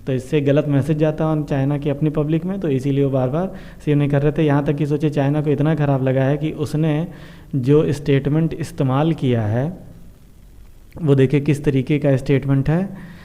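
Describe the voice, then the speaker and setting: Very dark-voiced Indian man explaining news. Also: on the high side, steady tone, moderate pace. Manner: explanatory, repetitive, mixing in English terms. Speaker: host of a current affairs explainer show. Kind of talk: informal news explainer commentary addressed to viewers. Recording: dull, muffled lo-fi sound.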